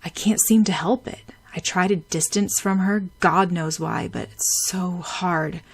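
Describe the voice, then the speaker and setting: A woman voicing a gruff, protective young man who speaks in a low-key way. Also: steady tone, moderate pace. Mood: brooding.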